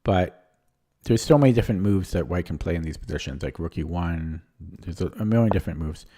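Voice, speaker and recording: A man with a lowish, mellow voice that is a little strained, thinking aloud while he streams chess. He talks casually and hesitantly and keeps questioning his own moves. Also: a little fast. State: voice strained from talking. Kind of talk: casual live-stream commentary.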